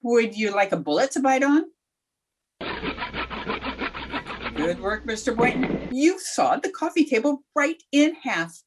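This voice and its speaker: A woman plays a dry-witted schoolteacher in a radio comedy. Her voice is high and swoops freely as she delivers sardonic wisecracks. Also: sing-song, moderate pace, noisy background. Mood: wry. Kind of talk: old-time radio comedy acting.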